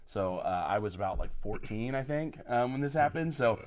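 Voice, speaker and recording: A man's voice: medium pitch, lively intonation, moderate pace. A man from Oklahoma, a guest on a podcast, telling his life story with enthusiasm and plenty of fillers. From Oklahoma, USA. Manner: enthusiastic, effusive, many fillers. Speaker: podcast guest, college program lead. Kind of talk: podcast interview, personal storytelling.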